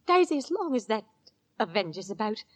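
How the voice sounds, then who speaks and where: A woman's voice: a little high, sing-song, brisk. An actress playing an anxious, stern middle-aged Victorian London landlady and mother, nervous and reproving, in 1940s radio drama style. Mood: uneasy, stern.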